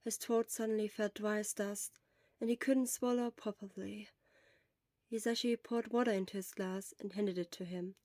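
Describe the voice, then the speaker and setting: A woman's voice: medium pitch, steady tone, moderate pace, darkish tone, no echo. A woman reading scripted fiction aloud as the narrator of an audio story. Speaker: podfic narrator.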